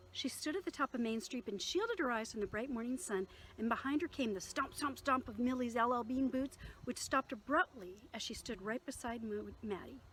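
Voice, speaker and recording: A woman reading her own novel aloud in an expressive storytelling manner, with occasional small stumbles. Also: on the high side, slight background noise, low-quality recording.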